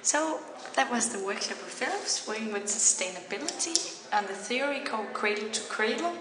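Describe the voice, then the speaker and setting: A woman's voice: on the high side, expressive, fairly quick, heavy background noise. A woman reporter giving a casual walking tour of a workshop, explaining as she goes. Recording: echoey hall with loud crowd.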